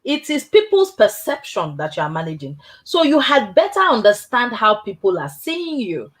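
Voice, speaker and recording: A woman hosting a casual career chat, telling stories in an animated way, laughing often and tagging her points with "right?". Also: on the high side, moderate pace. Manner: emphatic, tags points with "right?". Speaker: host.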